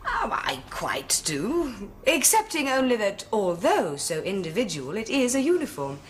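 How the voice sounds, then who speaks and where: An actress playing a cool, haughty young upper-class English lady who speaks in a crisp, cutting, condescending way in a 1970s television period drama. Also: medium pitch, wildly swooping pitch, unhurried, slight background noise.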